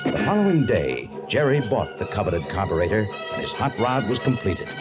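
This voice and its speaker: An actor as a dramatic narrator, delivering vivid scripted narration in old-time radio style. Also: male voice, fairly low pitch, very animated, normal speed. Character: dramatic male crime-story narrator. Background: loud dramatic music.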